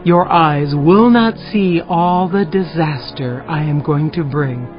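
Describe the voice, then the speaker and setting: A muffled recording with music carries a stern female prophet. She declaims grave judgment in measured, solemn phrases, in the manner of dramatized scripture. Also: low-pitched.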